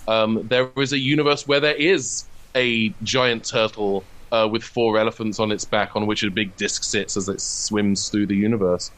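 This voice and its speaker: A man's voice: fairly low pitch, steady tone, normal speed, hardly any pauses, a bit bright. A man in a casual interview, talking as a comedy writer in an enthusiastic, discursive, geeky way.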